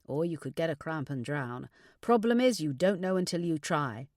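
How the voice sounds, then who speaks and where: Lady doing a gentle older dad in an audiobook, reassuring n measured, pretty dry sound. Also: low voice, big pitch swings, no echo. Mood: earnest, reassuring. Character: kindly older father giving gentle marriage advice. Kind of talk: audiobook character dialogue.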